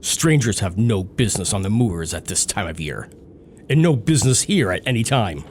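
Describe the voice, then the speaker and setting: An actor playing a tall, bitter master of a moorland manor in a radio drama, curt and menacing. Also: male voice, on the low side, very animated, moderate pace, a little mellow. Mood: cold, gruff. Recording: faint background noise.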